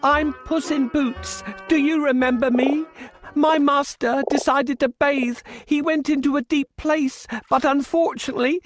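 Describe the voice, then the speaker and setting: Woman playing a high-pitched, earnest storybook cat. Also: steady tone, a little slow, no room sound, soft background music. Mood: urgent pleading. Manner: theatrical, earnest. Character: pleading, dramatic cat servant of a fairy tale.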